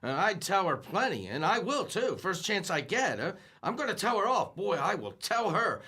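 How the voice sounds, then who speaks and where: A man's voice: high-pitched, very animated, normal speed, sharp tone, breathy edge, full character voice. An actor playing a bumbling, lovesick young man in an old-time radio comedy, stammering and flustered. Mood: sulky.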